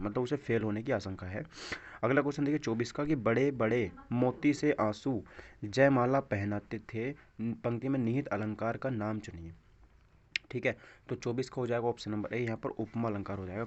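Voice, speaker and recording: Guy coaching exam questions, repeats answers n asks quick check questions, muffled lo-fi mic. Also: mid-range voice. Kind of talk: instructional walkthrough of practice quiz questions. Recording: dull, lo-fi microphone sound.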